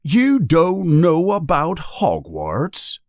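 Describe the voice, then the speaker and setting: A man voicing a booming giant gamekeeper in a storybook, hot-tempered yet kindly, with an emphatic, exclaiming way of talking. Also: very animated, slow pace, dry recording, studio quality. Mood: shocked.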